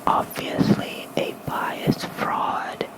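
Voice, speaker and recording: A person reading aloud in a soft, breathy, gentle whisper for ASMR, close to a fluffy microphone. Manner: slow, gentle, hushed reading. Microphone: close microphone with fluffy windscreen.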